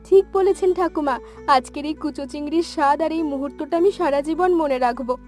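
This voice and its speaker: A woman's voice: sits high, brisk, darkish tone, soft background music, natural voice. A woman voicing an eager, grateful young granddaughter in a cartoon story. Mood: happy, grateful. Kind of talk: cartoon story dialogue in Bengali.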